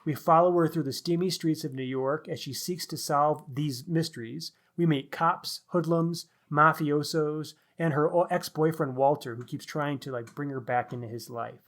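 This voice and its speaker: Higher-voiced man reviewing books. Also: steady tone, moderate pace, hardly any pauses, fairly bright tone. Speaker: podcast co-host. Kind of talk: book review talk on a podcast.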